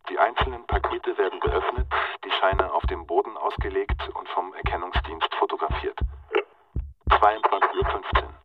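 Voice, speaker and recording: An actor playing an impersonal police official who reads a timed incident log in clipped, bureaucratic phrases for a radio drama. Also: male voice, low-pitched, no room sound. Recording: dull, distant, lo-fi sound.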